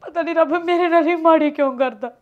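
A woman's voice: extremely high pitch, a little fast, narrow, tinny audio. A woman playing a tearful, wronged young wife. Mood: despairing. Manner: protesting, tearful.

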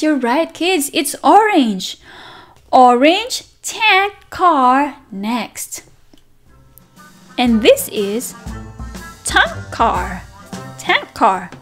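A woman teacher reading a picture book aloud to toddlers in an animated, sing-song manner, with simple repeated words and questions. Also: sits high. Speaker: children's teacher and read-aloud host. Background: background music.

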